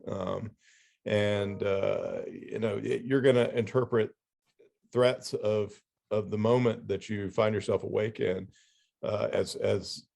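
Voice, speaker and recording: A man with a low, gravelly voice and a mellow, dark tone talks through his thoughts as a guest editor. He hesitates and searches for words, keeping it casual and reflective. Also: steady tone, takes it slowly. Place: remote video call.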